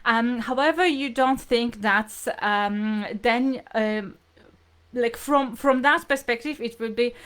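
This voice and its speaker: A woman speaks as a language teacher on a live stream. Her voice sits fairly high. She explains informally to her viewers, often circling back and repeating her phrasing as she searches for words. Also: slow pace, a bit bright, clear, resonant tone. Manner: hesitant, repetitive, explanatory phrasing. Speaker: language teacher hosting livestream lesson.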